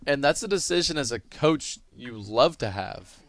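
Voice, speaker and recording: A man's voice: medium pitch, expressive, moderate pace, fairly bright tone, no echo. A man giving analytical, explanatory color commentary on a live baseball broadcast.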